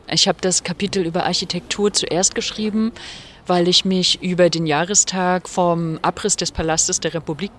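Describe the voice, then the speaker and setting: A woman's voice: lowish voice, a little hiss or hum. A middle-aged woman from northern Germany giving reflective, articulate interview answers in long, explanatory sentences. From Rostock, northern Germany. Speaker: interviewed author.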